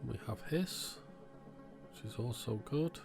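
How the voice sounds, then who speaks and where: A man's voice: medium pitch, animated, slow, gravelly, over music. A man giving informal, matter-of-fact commentary on an electronics repair, thinking aloud as he works. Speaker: electronics repair video host.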